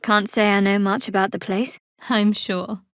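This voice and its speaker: A woman playing a guarded, sardonic young cleric in a fantasy video game, speaking in a measured, dry, wry way. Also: mid-range voice, little pitch movement, a bit bright, clean tone, no echo, lightly acted.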